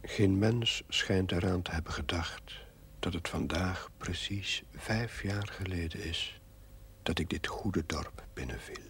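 An actor giving the wry, murmured inner narration of a village doctor in an old TV drama. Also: male voice, takes it slowly, a bit bright, husky, in a whisper, exaggerated voice. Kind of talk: voice-over narration. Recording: old TV audio with faint rumble.

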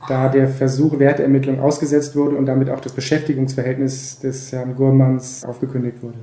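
A man's voice: mid-range voice, completely flat delivery, a little fast, dark tone, lightly acted. A man playing a polite, evasive ministry official, hesitant and officious, in a naturalistic radio drama. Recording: roomy office sound, faint noise.

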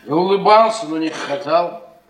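An actor playing a henpecked, weary middle-aged husband, fussy and exasperated, repeating himself in a stage play. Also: male voice, on the high side, normal speed, crowd noise, lightly acted. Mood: fussily defensive. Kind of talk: Russian theatre radio play, naturalistic dialogue. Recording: echoey stage from far, audience behind.

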